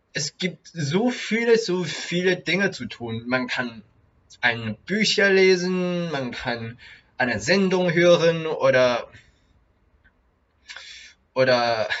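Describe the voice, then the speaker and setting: A young man who is not a native speaker talks slowly and haltingly on a home video, often stopping to restart a phrase. His voice is bright and a little pushed forward, and the recording sounds dull and lo-fi. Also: medium pitch. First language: not German. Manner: halting, many restarts and fillers. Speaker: vlogger, German learner. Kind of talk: casual learner vlog.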